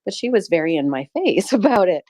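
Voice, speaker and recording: A woman's voice: on the low side, sing-song, brisk, darkish tone. A middle-aged Canadian woman who runs a small shop, telling a personal story in an earnest, reflective way with frequent laughs. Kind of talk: casual chat on a live audio space.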